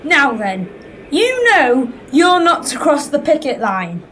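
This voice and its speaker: A woman acts an outspoken 1919 railway striker with a high, swooping voice. The picket is indignant and unhurried, in audio drama dialogue over background ambience. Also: very animated, fairly bright tone, slight background noise, full character voice. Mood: challenging.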